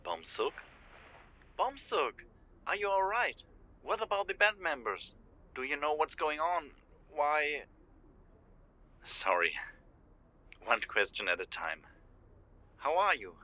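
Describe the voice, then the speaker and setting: A man voicing an eager, warm man from Germany who tumbles out questions, heard as if over a phone line. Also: on the high side, sing-song, takes it slowly, long pauses, full character voice. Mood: anxious. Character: warm middle-aged man, Philip on phone.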